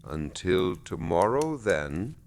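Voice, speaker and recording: A man voicing a kindly, unhurried village gardener in a bedtime story, easygoing and reassuring. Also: deep voice, big pitch swings, gravelly, slight background noise, full character voice. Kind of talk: slow storybook narration.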